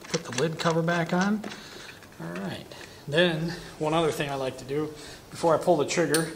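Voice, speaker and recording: Casual shop demonstrator, thirties, gravelly voice, lapel mic, noisy workshop background. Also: male voice, a little high. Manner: casual, talks while working with his hands. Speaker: welding equipment demonstrator. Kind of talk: hands-on product demonstration. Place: welding shop corner with curtain backdrop.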